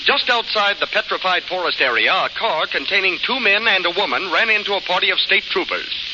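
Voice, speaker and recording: An actor playing a brisk radio newsman reading a bulletin in 1930s announcer style. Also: sits high, lively intonation. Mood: urgent, formal. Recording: muffled, noisy 1930s radio broadcast.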